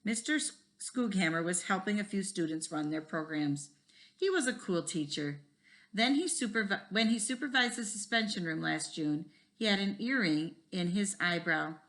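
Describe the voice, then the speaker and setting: A woman reading a children's story aloud like a teacher, expressively, pausing to wonder aloud with her listeners. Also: medium pitch. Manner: animated, pauses to pose questions to listeners.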